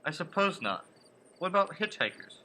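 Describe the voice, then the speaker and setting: An actor playing a haunted man in his thirties from Brooklyn, narrating a radio play in earnest, deliberate phrases. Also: sits high, big pitch swings, bright, forceful tone, slight background noise, heavily acted, low-quality recording. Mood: probing. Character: haunted 36-year-old man from Brooklyn, anxious but insisting he is sane. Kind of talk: radio play acting, first-person dramatic narration. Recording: music behind the voice.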